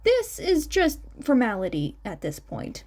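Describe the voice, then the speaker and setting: A woman's voice: on the high side, wildly swooping pitch, unhurried, darkish tone. A woman reading fan fiction aloud as a scripted story narration. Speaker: narrator, podfic reader.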